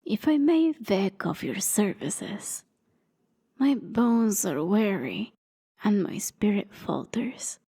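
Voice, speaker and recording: Woman acting a slow, feeble old lady traveler. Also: mid-range voice, expressive, warm tone, slightly rough, full character voice. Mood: weary, pleading. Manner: slow, hesitant, pleading. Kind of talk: ASMR fantasy roleplay.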